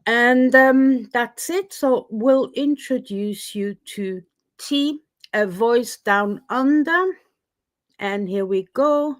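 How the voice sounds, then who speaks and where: A Swiss French woman hosting a casual livestream, chatty and quick to laugh. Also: a little high, no echo. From Switzerland. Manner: chatty, laughs often, with fillers. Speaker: livestream host.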